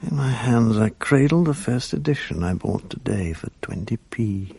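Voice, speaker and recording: A man's voice: on the low side, expressive, moderate pace, creaky, mellow, breathy edge, no echo, full character voice. An actor in his fifties with a British accent playing a bereaved, sleepless writer who narrates his days in a dry, self-mocking, gloomy way in a radio drama. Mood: weary. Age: 50s. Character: weary bereaved middle-aged writer, sleepless and bitter.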